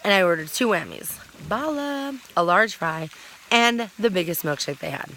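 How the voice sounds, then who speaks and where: A talkative woman in her thirties rambles through a casual vlog with a bright, forceful voice. She recorded it outdoors at a campsite, and a faint hiss of outdoor sound sits behind her. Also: medium pitch, expressive, moderate pace, rough recording. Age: 30s. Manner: rambling, talkative, self-correcting. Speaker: vlogger.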